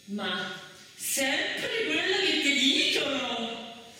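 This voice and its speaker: A man voicing bossy, scolding parents who nag a small child in drawn-out commands, in a stage monologue. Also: very high voice, very animated, bright, forceful tone, voice pushed up, heavily acted. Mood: indignant, scolding. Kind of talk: theatrical monologue. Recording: echoey hall, far from the mic.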